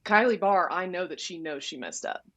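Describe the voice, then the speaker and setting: A young woman from Texas, a college goalkeeper telling stories in a loud, direct, animated way during a casual interview. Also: fairly low pitch, moderate pace. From Dallas, Texas. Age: young adult. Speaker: soccer goalkeeper, guest.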